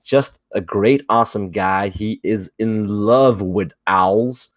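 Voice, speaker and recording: A man hosting a podcast who interviews a guest in a slow, friendly manner, lavish with praise. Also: lowish voice, dry recording. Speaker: podcast host.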